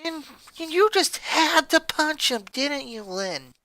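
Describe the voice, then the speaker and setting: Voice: high-pitched, lively intonation, slow pace, sharp tone, no room sound, voice pushed up, exaggerated voice. A reader voicing a tired, cranky little girl with princess airs in a story. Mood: accusing.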